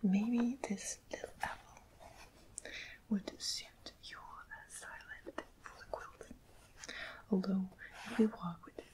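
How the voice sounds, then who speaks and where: A woman whispers softly and breathily in an ASMR roleplay. She plays a shop assistant, murmuring gently to a customer with small hesitations between phrases. Her pitch sits in an ordinary middle range for a woman. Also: poor audio. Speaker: ASMR host playing a quilt shop assistant. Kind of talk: soft-spoken ASMR roleplay.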